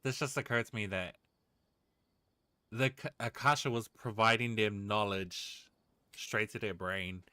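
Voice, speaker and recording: A man's voice: lowish voice, steady tone, measured pace, pauses often, pushed and bright, clear, resonant tone, dry recording, clean studio sound. A man casually reacting to a video game's story as he streams it. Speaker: game streamer. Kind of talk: casual reaction commentary on gameplay.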